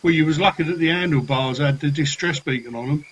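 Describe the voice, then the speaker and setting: A man's voice: a little high, quick pace, a bit bright, breathy edge, low-quality recording. A man playing a cheeky, defensive dodgy dealer in a comic radio play. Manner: cheeky, colloquial.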